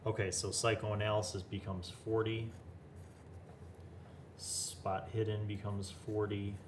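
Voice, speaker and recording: A man hosting a casual chat, thinking aloud and asking quick questions while building a game character. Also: on the low side, flat delivery, background noise. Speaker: host.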